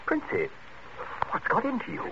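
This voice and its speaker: An actor playing a blustery, hearty British captain in a 1940s radio suspense play, with clipped upper-class phrasing. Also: male voice, normal speed, natural voice. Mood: startled. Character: blustery, hearty English captain, jilted suitor. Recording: muffled, noisy old 1940s radio recording.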